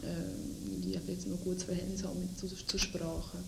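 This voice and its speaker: Young swiss german woman, low gravelly voice, stops and starts a lot, echoey room w noise. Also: little pitch movement, moderate pace. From Switzerland. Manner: hesitant, thoughtful, many fillers. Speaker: interviewee. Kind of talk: casual interview answers.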